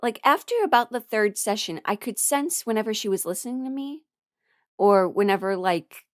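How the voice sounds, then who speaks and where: A woman talking casually and confidingly, giving personal advice in an informal, halting way and often correcting herself. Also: medium pitch, no room sound, clean studio sound. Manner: informal, halting and self-correcting, chuckles while talking, mimics others in dialogue. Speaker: host, voice performer giving a personal ramble.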